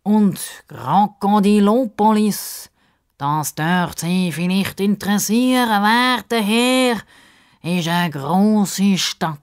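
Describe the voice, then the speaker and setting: Woman putting on a pompous, slow-talking male crocodile. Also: medium pitch, clear, resonant tone, voice pushed up, lightly acted. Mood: grandly self-important. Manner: pompous, drawn-out, over-polite formal speech.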